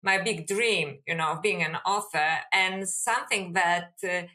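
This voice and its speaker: A woman's voice: lowish voice, measured pace, sharp tone. Thoughtful woman with a Polish accent. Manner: thoughtful, musing, with fillers. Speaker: guest, author and academic. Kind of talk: interview answers.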